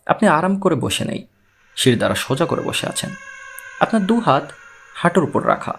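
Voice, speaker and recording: A man voicing a patient, analytical male investigator in an audiobook story, explaining and questioning in a measured way. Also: fairly high pitch, big pitch swings, creaky, a bit bright, slight background noise, full character voice. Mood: calm, analytical.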